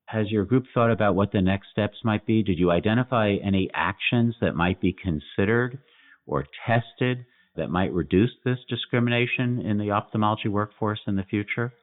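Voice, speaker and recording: A man's voice: fairly low pitch, normal speed. A man hosting a medical podcast, asking courteous, probing questions with small restarts. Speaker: podcast host, physician. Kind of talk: interview questions. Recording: tinny phone-like line.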